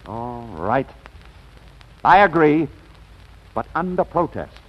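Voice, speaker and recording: A muffled vintage radio recording of an exasperated man, a reluctant groom. His husky voice leaps dramatically in pitch, in 1940s comedy style. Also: big pitch swings, slow pace, full character voice. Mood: indignant. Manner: exasperated, protesting. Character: indignant, flustered, reluctant bridegroom businessman.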